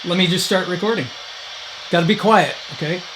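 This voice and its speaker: A man's voice: high voice, brisk, background noise. A man hosting a hobby vlog, chatting casually and thinking aloud as he explains things. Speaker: host of a radio hobby video.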